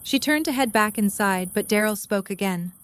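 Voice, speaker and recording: A woman's voice: mid-range voice, expressive, normal speed. A woman reading scripted story narration in the third person.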